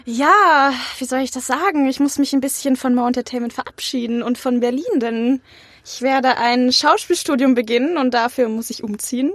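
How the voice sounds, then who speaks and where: A woman's voice: high-pitched, normal speed, no room sound, studio quality. A young woman chatting casually as a podcast host being interviewed, nervous and a little giggly. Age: young adult.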